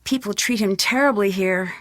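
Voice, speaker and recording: An actress playing an inquisitive young American detective in a video game, speaking earnestly and thoughtfully in phone dialogue. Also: female voice, mid-range voice, normal speed, fairly bright tone, no room sound, studio quality, natural voice. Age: young adult.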